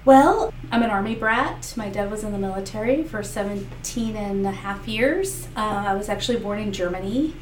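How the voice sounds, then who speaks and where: A woman's voice: mid-range voice, normal speed, few pauses. Woman candidate, Spanish native speaker, earnest, mic at a distance with faint background noise. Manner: earnest, talks in restarts with many fillers. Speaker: political candidate. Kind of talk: interview answers. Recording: echoey room, mic far off, faint noise.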